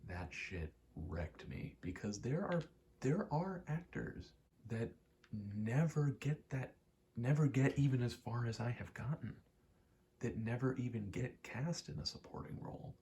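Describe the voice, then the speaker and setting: A man's voice: mid-range voice, animated, a little slow, dark tone, slight background noise. A young American man in his twenties rambles in a casual, candid ASMR chat, swearing now and then. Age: 20s. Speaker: ASMR rambler and aspiring actor.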